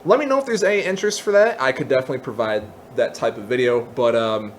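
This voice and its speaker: A man presenting a software tutorial, explaining step by step in a semi-casual way with casual asides. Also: mid-range voice, fast talker, a little hiss or hum.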